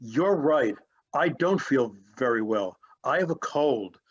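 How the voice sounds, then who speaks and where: A man's voice: mid-range voice, animated, slow pace, breathy edge, dull audio. A man playing an ailing, overworked newsstand seller, plaintive and groaning, in a readers theatre story. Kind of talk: readers theatre character reading.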